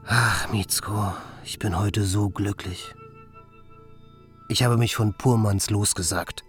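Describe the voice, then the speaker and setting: A man's voice: fairly low pitch, fairly even intonation, slow. Lyrical bohemian male writer over faint music. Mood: earnest, elated. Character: effusive bohemian male writer, restless and romantic. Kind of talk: German radio play acting.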